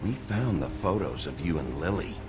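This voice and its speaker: An actor playing a gruff, hard-boiled investigator in a video game, blunt and menacing, with music underneath. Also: male voice, extremely low pitch, very animated, moderate pace. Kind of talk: dramatic video game acting.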